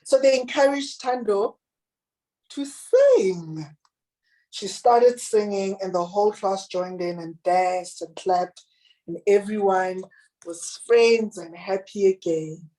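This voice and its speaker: A woman with a deep, husky, raspy voice reading her own children's picture book aloud and chatting about it. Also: lively intonation, rough recording. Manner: gentle, unhurried reading aloud with picture commentary. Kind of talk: children's storybook reading, then casual chat.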